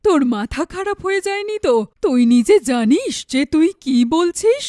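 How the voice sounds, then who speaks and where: A woman's voice: extremely high pitch, lively intonation, normal speed, darkish tone, no room sound, studio quality. A woman voicing a stern, protective middle-aged mother in a cartoon, with a scolding, indignant manner. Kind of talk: cartoon dialogue.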